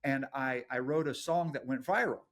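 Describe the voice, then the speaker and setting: A man's voice: medium pitch, normal speed, a bit bright. A middle-aged man with an East Texas accent, a guest telling his life story in a jokey, self-deprecating way. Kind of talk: casual storytelling interview.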